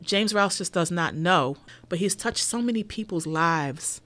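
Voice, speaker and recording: A middle-aged woman co-hosting a local radio show, reminiscing in a soft, heartfelt way. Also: lowish voice, expressive, a little slow. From United States. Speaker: radio co-host. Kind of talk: casual radio chat.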